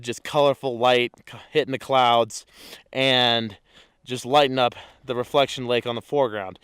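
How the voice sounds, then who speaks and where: A man photographing a calm mountain lake at dawn, explaining his camera settings in a relaxed way with many fillers while sounding congested from a cold. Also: medium pitch, smooth, no echo, studio quality. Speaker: landscape photographer. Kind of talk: casual on-location vlog.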